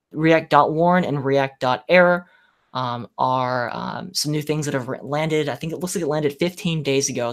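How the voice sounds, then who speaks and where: Man, gravelly tone, halting filler-heavy delivery, casual tech panel. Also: medium pitch. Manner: hesitant, many fillers, enthusiastic. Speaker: podcast panelist.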